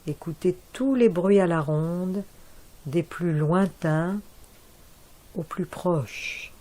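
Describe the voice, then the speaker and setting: A woman guiding a yoga nidra relaxation in a soft, calm voice with long pauses. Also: lowish voice. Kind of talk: guided relaxation.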